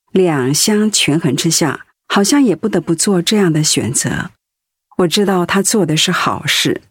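Radio drama widow: slightly low pitch, gentle, earnest, devoted. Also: female voice, breathy edge, no echo, studio quality, heavily acted. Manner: earnest, tender. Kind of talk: Mandarin radio drama acting.

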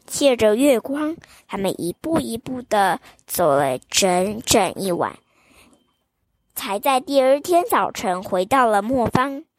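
A young woman reading a fairy tale aloud to children in a gentle, sing-song, child-directed manner. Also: fairly high pitch, lively intonation, slow pace, no echo. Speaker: children's storyteller host.

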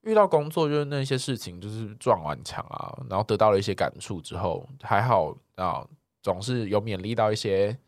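A man's voice: on the low side, lively intonation, a little fast, dry recording, clean studio sound. A man with a Taiwanese accent chatting casually as a podcast co-host, with a teasing, colloquial manner. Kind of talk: casual chat.

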